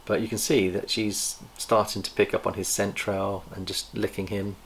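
A man's voice: on the low side, little pitch movement, creaky, slight background noise, rough recording. A middle-aged man, hosting a chatty snake-breeding vlog from an indoor reptile room. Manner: chatty, enthusiastic, pauses to recall details. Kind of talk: casual show-and-tell vlog. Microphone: camera across a table.

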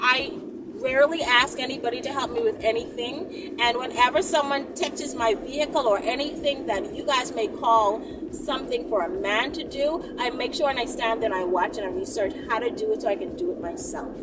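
High-pitched sassy woman in a car. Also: normal speed. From the Bahamas. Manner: emphatic, sassy, punctuating points with "okay?". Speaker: vlogger. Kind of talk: opinionated casual vlog talk to camera. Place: inside a moving car. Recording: distant mic, noisy moving car. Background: road and vehicle noise.

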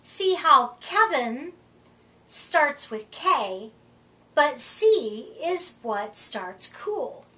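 A middle-aged woman reading a rhyming picture book aloud to children, with deliberate, expressive emphasis, recorded a short way from the mic. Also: high-pitched. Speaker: children's storytime reader. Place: indoor room, seated before a curtain. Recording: voice distant from the microphone.

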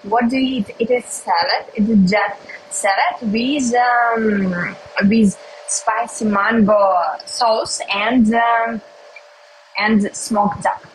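A young woman from Russia with a Russian accent, hosting a casual livestream while eating, speaking simple English slowly with many pauses and hums. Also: fairly high pitch, fairly even intonation, slight background noise, rough recording. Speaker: livestream host. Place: indoors at a table by a window.

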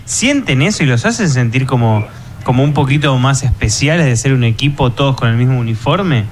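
A man's voice: medium pitch, expressive, brisk, a little hiss or hum. An Argentine man hosting a radio talk show, asking long conversational questions in the studio. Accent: Argentine Spanish. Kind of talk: radio interview. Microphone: studio microphone.

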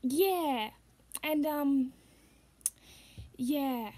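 A woman's voice: high voice, extremely slow, mellow. A young Australian woman chatting casually to a close camera in a small room, rambling with many fillers. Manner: rambling, full of fillers and laughs. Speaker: vlogger and puppeteer. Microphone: device camera held close.

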